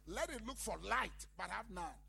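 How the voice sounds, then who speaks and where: A man's voice: high voice, animated, moderate pace, creaky, sharp tone, slightly rough. A man preaching a sermon as pastor, emphatic and calling out to his congregation. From Ghana. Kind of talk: lively sermon.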